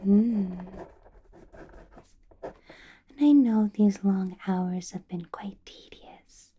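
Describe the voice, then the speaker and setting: A woman playing a doting, possessive vampire boss in an ASMR roleplay, coaxing and questioning in a breathy, soft whisper with lingering hums. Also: mid-range voice, very slow, dull audio, slight background noise. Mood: coaxing, reassuring. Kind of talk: ASMR roleplay, personal attention.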